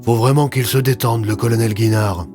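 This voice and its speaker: A man's voice: mid-range voice, steady tone, a little fast, darkish tone, faint music behind, natural voice. A man playing a cautious, candid hospital doctor in an audio drama. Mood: confiding.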